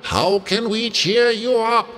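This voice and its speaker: A woman playing a kindly talking tree in a children's story, slow and caring. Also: fairly high pitch, fairly bright tone. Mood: caring, eager. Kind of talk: children's story character acting. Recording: long reverb.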